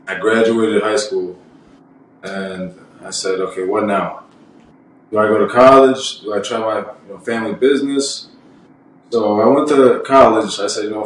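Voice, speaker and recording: A young man with a lowish voice rambles bluntly and swears freely. He sits some way from the mic in a strongly echoing room, with faint ambience behind him. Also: slight background noise. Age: 20s. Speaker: podcast guest. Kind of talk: casual podcast chat. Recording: very echoey room, mic far off.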